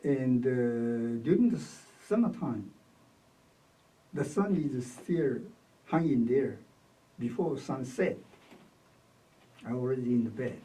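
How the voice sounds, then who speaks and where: An older man from Taiwan with a Taiwanese accent, recalling his life in an oral history interview in halting short phrases. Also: mid-range voice, animated, warm tone. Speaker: interviewee, retired academic. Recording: distant microphone.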